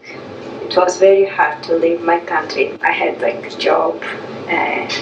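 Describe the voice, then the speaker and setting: A woman's voice: on the low side, steady tone, a little slow, highs cut off. A woman, speaking earnestly and plainly in a documentary interview. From Uzbekistan. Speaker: immigrant interviewee. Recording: roomy room, distant mic, clear noise.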